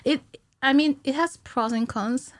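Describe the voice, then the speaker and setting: A woman's voice: high voice, measured pace, no room sound. A woman around her thirties in casual interview chat as a guest, explaining things in a giggly, informal way. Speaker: podcast guest, animator. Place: small indoor podcast studio. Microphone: close studio mic on a stand.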